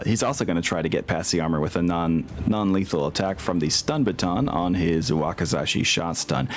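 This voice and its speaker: A man casually narrating a tabletop game as game master, informal and sweary, thinking out loud. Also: deep voice. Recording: music playing behind the voice. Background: background music.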